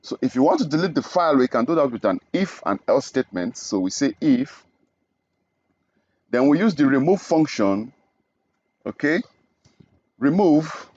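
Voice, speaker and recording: A man's voice: medium pitch, sing-song, normal speed, long pauses, darkish tone, no echo. Young guy from nigeria teaching code, step by step, dry slightly muffled desk mic. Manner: explains step by step, often adds "okay?". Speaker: programming instructor. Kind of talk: screen-recorded coding tutorial.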